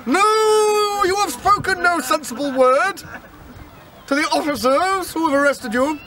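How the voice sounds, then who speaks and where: A man voicing a stern, pompous old male judge who drawls out booming pronouncements. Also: unhurried, distant mic, a loud crowd, rough recording.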